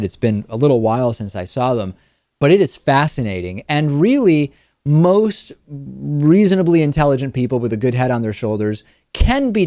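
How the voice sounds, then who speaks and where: A man with an American accent hosting a talk show, giving articulate, measured political commentary and interviews in a conversational way. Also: medium pitch, darkish tone, no room sound, clean studio sound.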